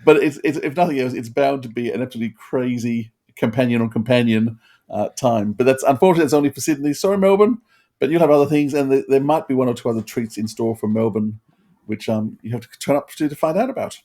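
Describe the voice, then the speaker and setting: A man's voice: medium pitch, fast talker, dark tone. A man co-hosting a podcast, chatting casually and often stammering over repeated words. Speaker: podcast co-host and event organiser. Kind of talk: casual chat.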